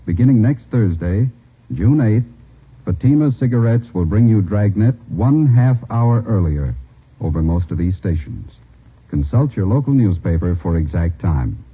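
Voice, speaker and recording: A man's voice: fairly low pitch, animated, normal speed, husky. An American man giving a formal scripted announcement in mid-century broadcast style. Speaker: radio announcer. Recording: thin, phone-like old radio broadcast.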